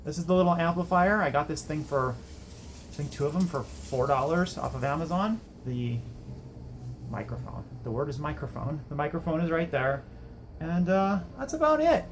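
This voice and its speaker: A man's voice: on the high side, animated, moderate pace, slight background noise. A man hosting a casual workbench build video, talking in a rambling, self-deprecating way. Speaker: electronics hobbyist host.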